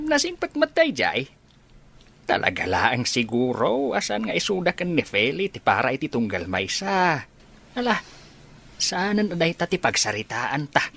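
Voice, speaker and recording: Actor as a husky, swooping middle-aged Ilocano father. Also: medium pitch, fairly bright tone, heavily acted. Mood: musing. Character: hospitable, teasing father of a grown daughter. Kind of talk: radio drama acting.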